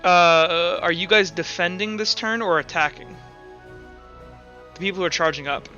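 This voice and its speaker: Bright, higher-pitched male game master. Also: steady tone, normal speed, lo-fi sound. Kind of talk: running a tabletop roleplaying game, casual. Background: music.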